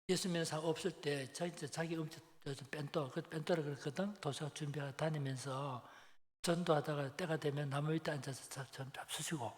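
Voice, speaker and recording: A man in his seventies delivers a sermon with a Busan Gyeongsang accent, his voice a little higher than most men's. He tells stories colloquially, puts questions to his listeners and repeats key phrases. Long hall reverb surrounds his speech. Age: 70s. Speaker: preacher. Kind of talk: Sunday afternoon sermon, conversational storytelling.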